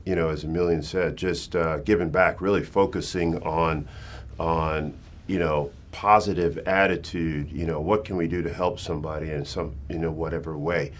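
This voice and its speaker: A man's voice: extremely low pitch, steady tone, normal speed. A man on a talk show panel speaking personally and motivationally, using emphatic repetition.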